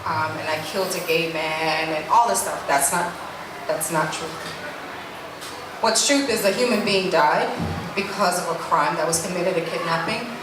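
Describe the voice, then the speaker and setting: A woman's voice: lowish voice, normal speed. A middle-aged woman from Jamaica, a guest speaking earnestly about her life, with many restarts. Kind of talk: candid conversational interview. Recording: echoey room from a distance, noisy.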